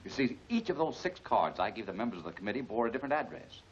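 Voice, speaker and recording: A man's voice: medium pitch, sing-song, fast, lightly acted. An actor playing a confident, unruffled male crime-fighting hero in a 1940s film serial, speaking with assurance. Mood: calm, assured. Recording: muffled 1940s film soundtrack with music.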